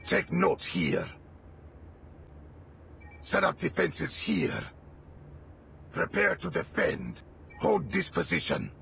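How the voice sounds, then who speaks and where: A man voicing a vengeful, wrathful militant commander in a video game, gravelly and commanding, delivering menacing combat lines. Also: fairly high pitch, huge pitch swings, slow, long pauses, a bit bright, a little hiss or hum, exaggerated voice. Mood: terse, tactical. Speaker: playable game character.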